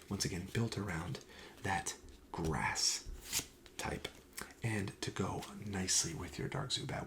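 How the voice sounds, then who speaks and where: Soft, whispery low-voiced man doing ASMR. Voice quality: breathy, often dropping to a whisper. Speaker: host playing a card shop clerk in a roleplay. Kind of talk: soft-spoken ASMR roleplay.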